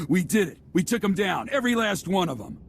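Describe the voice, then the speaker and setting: Brash, animated young-spirited resistance fighter. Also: male voice, high voice, normal speed, gravelly, slight background noise, full character voice. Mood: jubilant. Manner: brash, slangy. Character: cocky male resistance fighter, rowdy and triumphant. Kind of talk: video game cutscene acting.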